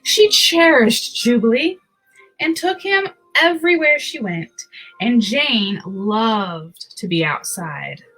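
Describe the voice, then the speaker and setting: A woman's voice: a little high, animated, slow, a bit bright, faint music behind, low-quality recording. A woman hosting a picture book read-aloud, telling the story expressively with casual asides.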